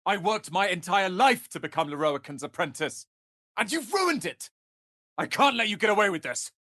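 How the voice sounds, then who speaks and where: Proud young tiefling wizard, haughty, biting, bright forceful voice, dramatic game acting, very dry recording. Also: male voice, high-pitched, very animated, normal speed, no echo, heavily acted. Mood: angry, indignant. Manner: haughty, theatrical, clipped and biting. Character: proud, sharp-tongued young tiefling wizard's apprentice, male.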